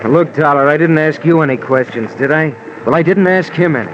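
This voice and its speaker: An actor playing a blunt, laconic schooner captain in a 1950s radio drama. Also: male voice, a little high, a little fast, close to an everyday voice. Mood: curt. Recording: muffled old radio, noisy background.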